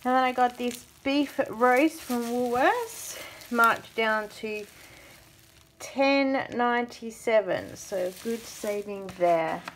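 A woman's voice: a little high, slow pace, a little hiss or hum, low-quality recording. Aussie mum chatting away on her phone cam, easygoing, laughs a bit. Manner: chatty, thrifty, laughs now and then. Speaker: vlogger. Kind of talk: casual shopping commentary. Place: supermarket aisles, later at home. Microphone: phone camera held in hand.

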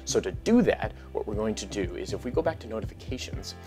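A man's voice: fairly low pitch, expressive, fast talker, over music. A man in his thirties teaching an online course, explaining step by step in a conversational way with frequent fillers, recorded on a lapel mic in a small home studio. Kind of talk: casual tutorial explanation.

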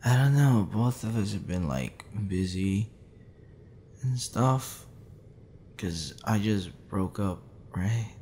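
A man's voice: on the low side, animated, dark tone, slight background noise. A man playing a drunk, flustered young man with a tender streak, stammering and rambling in an intimate ASMR roleplay. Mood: tender, wistful. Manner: stammering, halting, tipsy rambling with breathy sighs.

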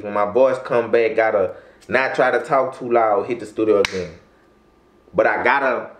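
A young man talks in a loose, rambling way, full of slang and throat clearing. His voice sits low. He is seated in a small room that rings with echo. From Louisiana. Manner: laid-back, rambling, heavy slang, frequent throat clearing. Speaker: rapper guest. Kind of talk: casual interview talk. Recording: echoey room.

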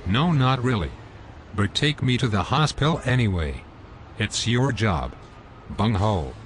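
A man voicing a rude, scruffy homeless man in an amateur animated comedy, with slow, sulky, insulting speech. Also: lowish voice, a little hiss or hum. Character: rude scruffy homeless man who lies about everything.

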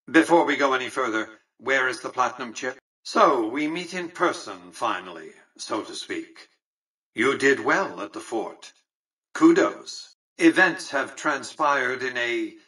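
A man playing an aged, imperious industrialist in a video game, speaking formally and condescendingly with precise, measured diction. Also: mid-range voice, pushed and bright, husky, recorded from a distance, poor audio. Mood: cool, condescending. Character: aged, shrewd, imperious industrialist tycoon ruling a casino city. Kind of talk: video game character dialogue.

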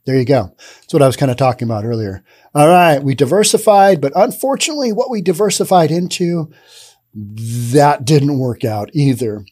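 A man in his thirties hosting a podcast, giving casual, sarcastic commentary on the news into a studio boom mic. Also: lively intonation, normal speed, darkish tone. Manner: sarcastic, acts out imagined dialogue. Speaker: podcast host. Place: dim studio with brick wall and neon sign.

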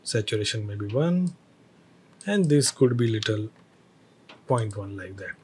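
A man teaching a screen-recorded software tutorial, explaining each step with hesitant phrasing and fillers. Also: on the low side, expressive, measured pace, slightly rough.

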